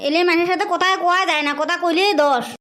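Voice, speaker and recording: Very high, rapid-fire village wife played by a woman, sharp-tongued scold with rural northern Bengali dialect, comedy cartoon. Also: steady tone. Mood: scolding, aggrieved. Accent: rural northern Bengali dialect of the Assam-Bengal border. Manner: scolding, snappy.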